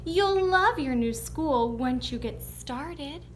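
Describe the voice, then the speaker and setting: A patient but firm storybook father, read by a woman, coaxing with emphatic words. The delivery is unhurried and swings up and down in pitch. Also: animated, slight background noise. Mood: dismissive.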